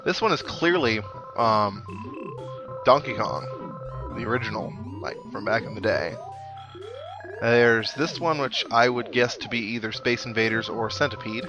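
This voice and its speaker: A man's voice: mid-range voice, expressive, unhurried, dull audio. A man giving casual, wry let's play commentary on a video game, thinking aloud with dry humour. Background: video game music.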